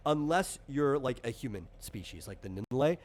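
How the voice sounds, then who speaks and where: A man's voice: mid-range voice, lively intonation, normal speed, a little mellow. A man in the role of game master, casually explaining tabletop game rules in a rambling, conversational way with lots of asides.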